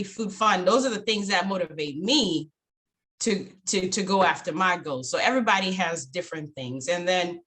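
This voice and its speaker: A young woman from Zimbabwe, presenting a casual online finance workshop over a video call in a relaxed, chatty way with many fillers. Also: fairly low pitch.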